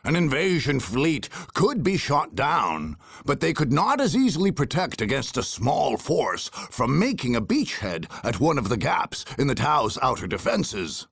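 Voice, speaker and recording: A man playing a grave, ominous chronicler of war, narrating a video game story in a gravelly, husky voice. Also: mid-range voice, big pitch swings, unhurried, hardly any pauses, fairly bright tone, no echo, full character voice. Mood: solemn, foreboding. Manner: solemn, dramatic, ominous. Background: faint music.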